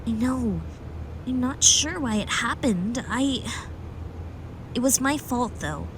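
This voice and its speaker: A woman's voice: on the high side, lively intonation, takes it slowly, a little hiss or hum. A woman playing a conflicted young heroine, speaking hesitantly and with feeling in an amateur audio drama. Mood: regretful.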